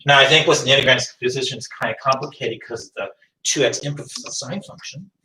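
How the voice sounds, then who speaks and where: A math teacher whose first language is French, lecturing a class and thinking aloud, often stopping to rephrase. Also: male voice, mid-range voice, fast talker, pushed and bright, slight background noise. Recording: echoey room, distant mic.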